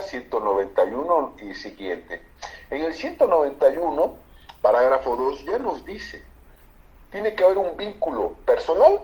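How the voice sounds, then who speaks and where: A man's voice: on the high side, warm tone, low-quality recording. A man from Bolivia, a lawyer, explaining constitutional law emphatically on a political talk show. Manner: emphatic, explanatory, repeats for stress.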